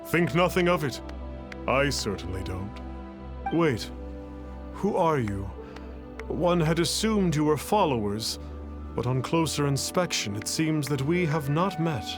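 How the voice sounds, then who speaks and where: A man's voice: a little high, expressive, music in the background. An actor playing a pompous masked man who poses as a lofty immortal and speaks of himself in formal, grandiose terms. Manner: grandiose, refers to himself as "one", slow and formal. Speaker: swindler posing as an immortal.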